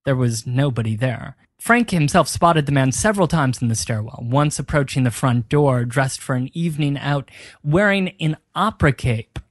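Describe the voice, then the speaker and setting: A man co-hosting a podcast, telling local history and ghost stories with dramatic pauses. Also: mid-range voice, no room sound, clean studio sound. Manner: builds tales with dramatic pauses. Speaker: podcast co-host. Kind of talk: storytelling history chat.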